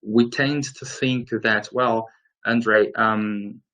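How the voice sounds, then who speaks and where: A man's voice: lowish voice, fairly even intonation, drawn-out words, a bit bright, dull audio. A man in his late thirties from Brazil with a Brazilian Portuguese accent, presenting slides in an explanatory way with hesitant phrasing. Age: late 30s. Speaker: webinar presenter. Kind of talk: instructional slide presentation, semi-scripted talk.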